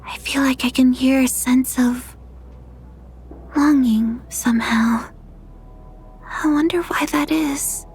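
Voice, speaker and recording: A sweet, fragile young girl in a fantasy game. Her voice is high, soft and breathy, and she talks slowly with shy, earnest hesitation. Music sits behind her. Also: fairly even intonation. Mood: tender, hushed. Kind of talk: video game English dub acting.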